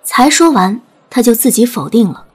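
A woman's voice: fairly high pitch, lively intonation, normal speed, husky, rough recording. A woman narrating a scripted dramatic audiobook story. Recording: music bed under narration.

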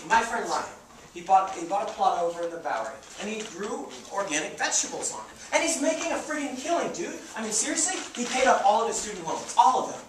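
An actor playing a young, idealistic, broke farmer on stage, pleading and stammering in slangy, insistent speech. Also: male voice, high voice. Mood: excited persuading. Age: young adult. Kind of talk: stage play acting. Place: indoor theater stage. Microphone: far camera. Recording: echoey theater stage, distant noisy camera mic.

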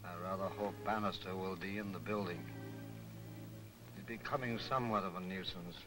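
An actor playing a calm, soft-spoken criminal mastermind in an old 1940s film serial. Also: male voice, deep voice, normal speed, close to an everyday voice, poor audio. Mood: quietly menacing. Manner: quiet, calm and menacing. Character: soft-spoken mad criminal mastermind, middle-aged man. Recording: 1940s film soundtrack with loud music.

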